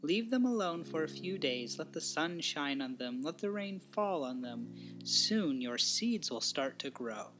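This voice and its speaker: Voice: mid-range voice, expressive, unhurried, over music, close to an everyday voice. A storybook narrator voicing a friendly frog gardener who sounds helpful, patient and encouraging. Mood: reassuring.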